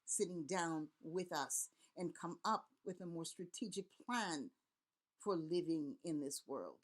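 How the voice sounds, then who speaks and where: Middle-aged woman from haiti, a priest and elder, low-ish voice, earnest rallying talk, piles up lists. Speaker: Vodou priest and community elder. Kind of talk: impassioned spoken address to camera.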